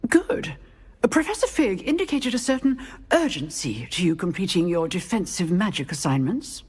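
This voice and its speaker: An actress playing a strict female magic professor with a crisp, authoritative manner. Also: fairly low pitch, big pitch swings, normal speed, a little mellow, big-room sound. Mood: brisk. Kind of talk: game cutscene acting.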